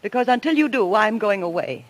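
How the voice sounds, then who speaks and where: An actress playing a cold, disdainful wealthy wife, curt and scornful, in old-time radio thriller style. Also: female voice, mid-range voice, animated, brisk, close to an everyday voice.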